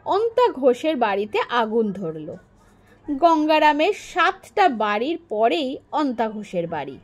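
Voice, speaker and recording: A woman narrating a short story as a storyteller, in an expressive, dramatic audiobook style. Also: high-pitched.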